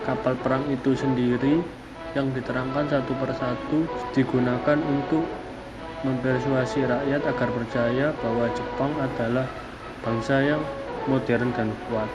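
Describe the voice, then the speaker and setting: A young man gives an explanatory academic presentation as a student, speaking haltingly in short phrases. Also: mid-range voice, level tone, loud music behind the voice. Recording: dull lo-fi audio, clear background noise.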